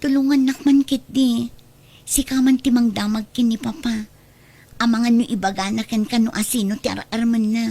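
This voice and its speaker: A woman's voice: fairly high pitch, steady tone, moderate pace, dark tone. A woman acting a young adult daughter in a radio drama, anxious and nosy, with a questioning, pleading manner. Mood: worried, confiding. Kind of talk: amateur-style radio drama acting in Ilocano.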